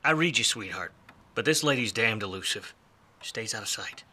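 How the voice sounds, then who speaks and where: A man's voice: fairly low pitch, animated, normal speed, sharp tone, full character voice. An actor playing a world-weary, wisecracking private detective in a film noir radio play, with a wry, slangy tough-guy delivery. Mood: sardonic. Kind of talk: film noir radio play, first-person narration and dialogue.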